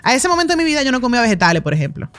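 A Dominican chef in her thirties, telling stories as a guest in a podcast studio, talking with lively gestures and quick asides. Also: a little high. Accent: Dominican Spanish. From Dominican Republic. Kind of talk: casual interview storytelling. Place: podcast studio with brick walls and plants. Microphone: studio mic on a boom arm.

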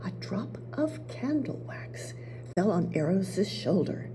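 An older woman, the playwright, narrating her own play in a hushed, near-whispered voice at a table on a small theater stage. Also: medium pitch, sing-song, takes it slowly. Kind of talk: story narration at a play reading. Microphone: table mic.

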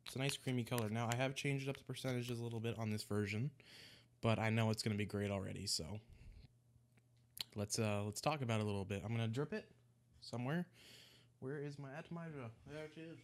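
A young man talks into a desk microphone in a small room at home, his voice slightly congested. He rambles in a relaxed, easygoing way, often restarting his words, at an ordinary middle pitch. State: a little congested. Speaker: e-liquid mixing vlogger. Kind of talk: casual how-to vlog. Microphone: desk microphone in front of him.

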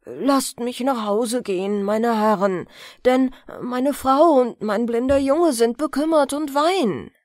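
A woman's voice: a little high, slow, darkish tone, dry recording, clean studio sound. Woman voicing a meek, pleading frightened landowner. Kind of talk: fairy-tale audiobook character voice.

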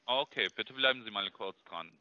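Brief: cold caller, very bright, edgy tone, stumbling through the pitch, dull phone sound. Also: male voice, no echo. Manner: halting, searching for words. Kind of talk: sales phone call.

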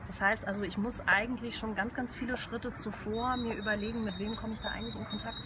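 A woman's voice: a little high, steady tone, fast. Woman, 30s, interviewer, upbeat prompting questions, street setting, heavy traffic noise. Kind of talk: conference interview. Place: outdoors by a brick wall. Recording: loud outdoor traffic noise.

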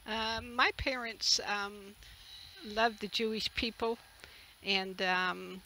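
A woman in her seventies from the Netherlands, a native Dutch speaker, giving a halting, earnest personal testimony. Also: medium pitch, steady tone, sharp tone, poor audio. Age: 70s. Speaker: interviewee, retreat camp owner. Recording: clear background noise, outdoor ambience.

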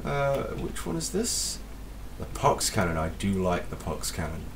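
A man with a slight cold, casually commentating his gameplay as a let's play host, excitable and self-deprecating. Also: low-pitched, unhurried, far from the mic, noisy background.

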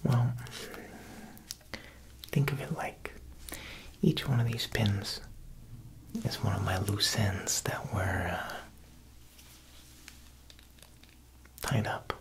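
A young man playing a smooth, quietly menacing Italian mob boss tailor in an ASMR roleplay, speaking softly and unhurriedly at a close mic, with insinuating pauses. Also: fairly low pitch. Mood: careful, insinuating. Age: young adult. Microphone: close ASMR microphone.

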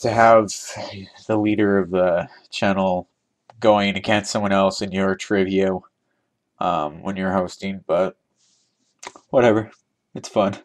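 Young man rambling over gameplay. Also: fairly low pitch. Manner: chatty, rambling, laughs often, thinks aloud. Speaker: trivia giveaway host. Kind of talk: casual gameplay commentary.

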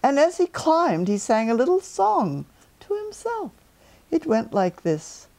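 An elderly woman reading a children's story aloud in an expressive, deliberate way, as a storyteller in her living room. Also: sits high, vocal fry. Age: 70s or older.

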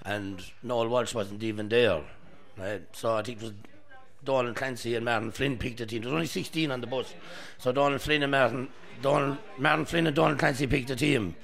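A middle-aged Irish man, a former footballer, telling stories with a laugh in a busy pub on a boom mic. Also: brisk, a bit bright. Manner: gravelly, laughing storyteller. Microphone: studio mic on boom.

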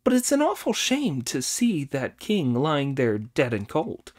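A man voicing a brash, cheeky monkey king who talks high and loud in an audiobook. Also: wildly swooping pitch, unhurried, heavily acted. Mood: eager. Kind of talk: audiobook character voice.

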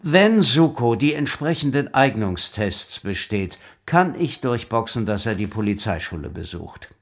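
An actor playing a firm, formal police chief in a German audio drama, brusque and authoritative. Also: male voice, medium pitch, big pitch swings, a little slow. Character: gruff authoritative male police chief, secretly fair.

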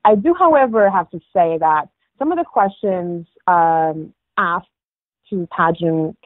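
A woman's voice: on the low side, lively intonation, measured pace, no echo. A woman from Morocco in her thirties, a guest chatting in an interview, with frequent restarts and fillers. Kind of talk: casual interview talk. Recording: dry tinny phone line.

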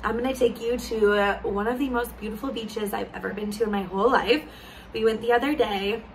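A woman in her thirties, from California, chatting to camera on a balcony for her travel vlog, her voice a little hoarse, laughing often. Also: medium pitch, slight background noise, poor audio. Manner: bubbly, laughs often, lively hand gestures. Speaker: travel vlogger. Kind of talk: casual chat to camera. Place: sunny balcony outdoors.

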